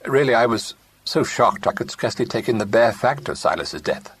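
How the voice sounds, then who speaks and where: A man voicing a harassed young heir who speaks in a quick, worried way. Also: medium pitch, big pitch swings, a bit bright, breathy edge, voice pushed up.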